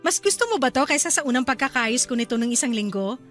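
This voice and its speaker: A woman's voice: high voice, animated, fast, a bit bright, soft background music. A woman with a Filipino accent playing a cheerful, eager young wife and mother in a radio-style drama.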